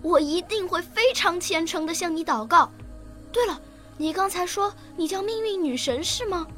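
Earnest, very high-voiced little girl. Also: expressive, normal speed, a bit bright, soft background music. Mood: earnest, insistent. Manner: childlike, pleading and eager. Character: earnest, emotional nine-year-old girl who lost a parent. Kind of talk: radio drama acting with first-person narration.

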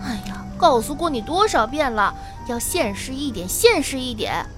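A woman's voice: high voice, very animated, normal speed, over music. A woman playing a sensible, nagging teenage schoolgirl, scolding and teasing her best friend in an audio drama. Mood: exasperated, mocking. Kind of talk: Chinese audio drama acting.

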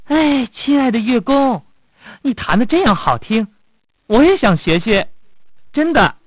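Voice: medium pitch, sing-song, a little slow, no room sound, lo-fi sound, voice pushed up. A storyteller voicing an eager, naive, obedient little rabbit from a fairy tale, sweet and polite.

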